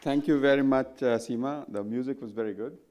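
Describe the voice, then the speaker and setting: A man's voice: mid-range voice, brisk, darkish tone, slight background noise. A man from Nepal chairing a conference session, polite and formal.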